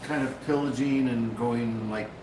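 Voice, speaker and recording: Off-mic male co-host; relaxed, brief; roomy and noisy. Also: mid-range voice, a little slow. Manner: laid-back, brief replies. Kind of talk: casual chat. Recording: distant voice in an echoey, noisy workshop.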